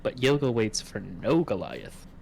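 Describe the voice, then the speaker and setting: A man playing a tabletop game, talking in a relaxed, self-deprecating way. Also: lowish voice, measured pace, gravelly, slight background noise. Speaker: player. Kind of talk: casual chat during a tabletop game.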